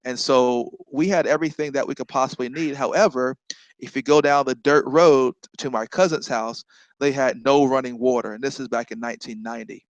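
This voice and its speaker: This is a middle-aged man with a Southern accent, talking as an interview guest. His voice sits at an ordinary pitch, and the recording is dry and close. He tells his story earnestly, restarts phrases and often checks in with "right?". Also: fairly even intonation, a little fast, dry recording, low-quality recording. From North Carolina. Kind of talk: casual conversational interview.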